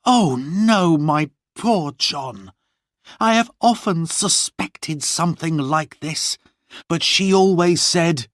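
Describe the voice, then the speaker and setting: Male reader voicing a lovestruck young noblewoman, high and heartfelt, dry close sound. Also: lively intonation, slow, fairly bright tone, no echo. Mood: urgent. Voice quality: raised, emotional delivery. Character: young noblewoman, tender, passionate, pleading.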